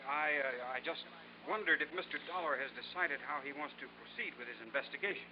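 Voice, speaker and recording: An actor playing a mild, genteel nephew with a sly streak, in 1950s radio drama style. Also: male voice, a little high, a little fast, lightly acted. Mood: meek, hesitant. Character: mild, polite nephew, quietly sly and amused. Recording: muffled, noisy vintage radio recording.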